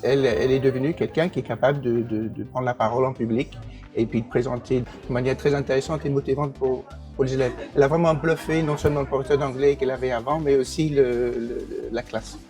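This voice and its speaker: A man who is an English teacher and program director, answering interview questions with many pauses, fillers and restarts. English is his first language. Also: medium pitch, fairly even intonation, darkish tone, soft background music.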